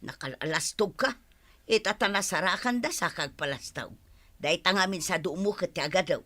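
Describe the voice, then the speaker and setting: A woman's voice: on the low side, moderate pace, sharp tone. A woman acting an emotional, plain-spoken village mother in a drama serial. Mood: scolding.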